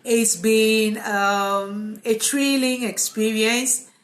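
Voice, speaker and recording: A woman with a Cameroonian accent, a psychotherapist talking casually and informally to viewers in a live vlog, with a relaxed, conversational manner. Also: on the high side, extremely slow. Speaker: psychotherapist hosting a live video. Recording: echoey, reverberant room.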